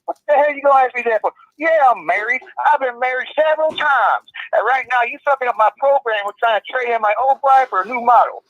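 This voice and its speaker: A man's voice: very high voice, quick pace, hardly any pauses, fairly bright tone. A man putting on a foul-mouthed, blustering, hot-tempered trucker who is calling in to a live stream over the phone. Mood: irritated. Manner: profane, blustering, threatening, drawling. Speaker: phone-in guest. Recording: muffled phone line.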